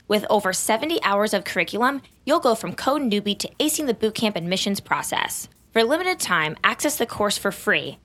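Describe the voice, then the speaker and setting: A woman's voice: medium pitch, sharp tone. A woman hosting a podcast, reading her intro and ads, then chatting with a guest enthusiastically. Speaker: podcast host. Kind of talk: casual interview and scripted intro.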